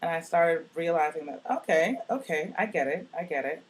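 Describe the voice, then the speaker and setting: A woman with a deep voice casually telling a personal story in a recorded message to a youth project, in a relaxed, chatty way full of fillers. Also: moderate pace, rough recording. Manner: chatty, relaxed, many "like" and "you know" fillers.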